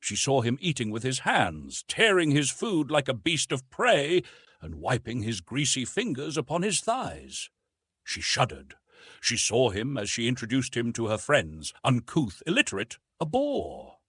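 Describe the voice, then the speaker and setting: A man narrating a classic novel in an expressive, literary storytelling style. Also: mid-range voice, husky, no echo. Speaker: audiobook narrator.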